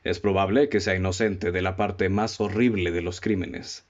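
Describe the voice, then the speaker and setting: A man with a Mexican accent acting a self-assured, analytical detective in a radio play, speaking formally and deliberately, on a lo-fi recording. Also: deep voice, steady tone, close to an everyday voice. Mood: confident, explaining. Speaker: amateur actor in a radio play.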